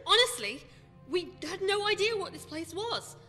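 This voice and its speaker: A woman's voice: extremely high pitch, expressive, normal speed, pushed and bright, heavily acted. A woman playing a curious, earnest young female companion, with music behind. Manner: earnest and inquisitive. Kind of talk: fan audio drama acting. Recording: long reverb with music behind.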